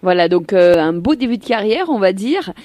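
A woman's voice: medium pitch, big pitch swings, moderate pace, dark tone, no echo. A woman hosting a local radio interview, promoting an event in an upbeat way and reading out its details. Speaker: radio host.

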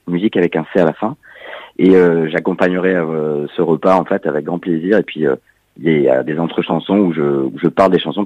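Deep-voiced hesitant man on radio. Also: slightly rough, dry recording, phone-quality sound. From Montpellier, France. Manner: hesitant, many fillers. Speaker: musician and actor guest. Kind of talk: radio interview.

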